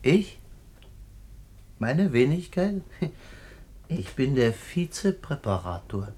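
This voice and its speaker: A man's voice: mid-range voice, big pitch swings, slow, dark tone, husky, exaggerated voice. An actor playing a self-important, philosophising man in a radio drama, earnest and pompous in manner. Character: self-important, philosophising male assistant anatomy preparator.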